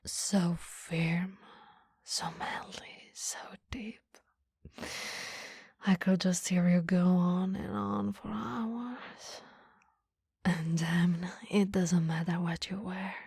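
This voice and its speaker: A woman playing a flirty, doting boss in an ASMR roleplay, soft, whispery and intimate, close to the mic. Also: lowish voice, steady tone, dry recording, heavily acted. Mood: flirty, admiring. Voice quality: breathy, whispery. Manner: soft, slow, intimate, teasing. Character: flirty, doting female boss visiting her employee. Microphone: close-up microphone.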